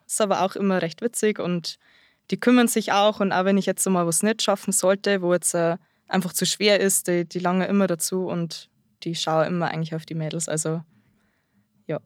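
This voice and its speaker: Young woman, nineteen, lowish voice, hesitant, lots of fillers, dry close mic. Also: darkish tone, no echo. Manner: hesitant, many fillers and restarts. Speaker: guest, apprentice-trained carpenter. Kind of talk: casual interview answers.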